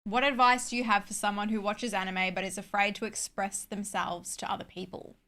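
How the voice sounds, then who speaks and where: A woman's voice: medium pitch, flat delivery, fairly bright tone. Bubbly woman in her late twenties. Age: young adult. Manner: bubbly, quick to laugh, reads out questions. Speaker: co-host of a reaction channel. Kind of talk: casual chat.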